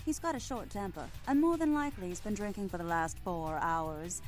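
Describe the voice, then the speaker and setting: A woman's voice: medium pitch, a little fast, faint music behind, close to an everyday voice. A woman voicing a brash, shrewd nightclub owner in a video game, with a blunt, sardonic, foul-mouthed manner. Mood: businesslike.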